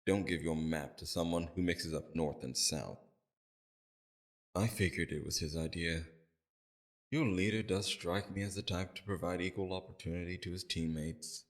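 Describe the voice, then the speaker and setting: A man playing a courteous, calculating villain leader in an audio roleplay, speaking to a captive in a polite, measured, formal and softly condescending way. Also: sits low. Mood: amused, chiding. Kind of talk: scripted audio roleplay, addressed to the listener.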